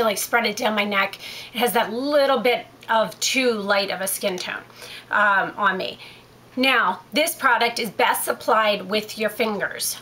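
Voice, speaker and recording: A woman's voice: on the high side, pushed and bright. A woman with a head cold, stuffy-sounding, chatting her way through a makeup review with lots of fillers and self-corrections. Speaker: beauty vlogger. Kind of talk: casual makeup review vlog.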